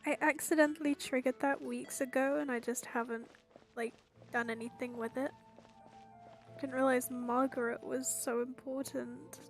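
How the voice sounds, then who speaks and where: A woman's voice: high voice, flat delivery, normal speed. A woman game streamer giving casual playthrough commentary with wry, exasperated asides. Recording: game music behind the voice.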